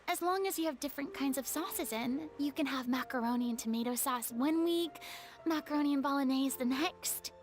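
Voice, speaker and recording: A woman's voice: high-pitched, a little fast, lightly acted. An actress voicing a proud, theatrical young woman who was once a goddess, with a haughty, dramatic delivery. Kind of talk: game voice acting.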